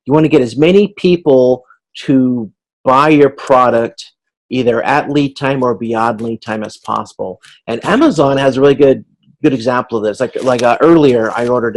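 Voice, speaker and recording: A man speaks in a medium-pitched voice as a class instructor. His delivery is informal and explanatory, working through points in a halting, conversational way. He sounds like he is thinking aloud while he teaches. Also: gravelly, poor audio. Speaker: instructor leading a class video conference.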